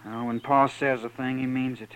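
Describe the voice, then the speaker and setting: An actor playing an earnest, fearful American farm son in a 1950s radio western, plain and deferential. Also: male voice, medium pitch, little pitch movement, moderate pace, natural voice, poor audio. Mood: anxious, earnest. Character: earnest, fearful farm son under his father's thumb. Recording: old radio recording with faint noise.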